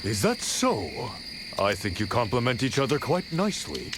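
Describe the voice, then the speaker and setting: A man playing a stately, highly expressive noble warlord. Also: moderate pace, background noise, lightly acted. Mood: genial. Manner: stately, formal, theatrical. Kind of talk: dramatic video game cutscene acting. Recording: loud background music under voice.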